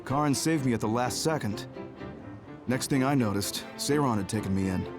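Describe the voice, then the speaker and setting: A composed, serious male major general, voiced by an actor for an anime game. He speaks in a measured, understated manner at a middle pitch, over clear background music. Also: lightly acted. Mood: relieved, calm. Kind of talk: English anime game voice acting.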